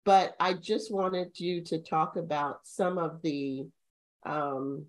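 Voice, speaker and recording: An older woman with a low voice who speaks slowly. She co-hosts a casual video call and asks questions in a halting way, pausing on ums. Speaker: co-host. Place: home room.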